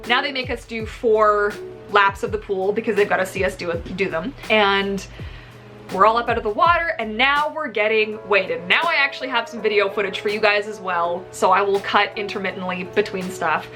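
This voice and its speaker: A woman in her thirties telling a personal story casually to camera in an animated, emphatic way, recorded in a room at home. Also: mid-range voice, normal speed, soft background music. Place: small indoor room at home.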